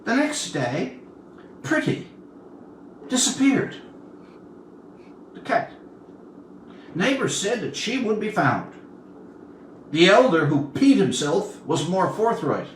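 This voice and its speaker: A man in his seventies reads a literary story aloud, recorded from some distance in a roomy space. His voice sits quite high for a man and moves freely up and down. He takes his time, phrasing like an expressive storyteller with dramatic pauses. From Newfoundland, Canada. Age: 70s. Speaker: author reading his own story. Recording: roomy, distant mic, faint background noise.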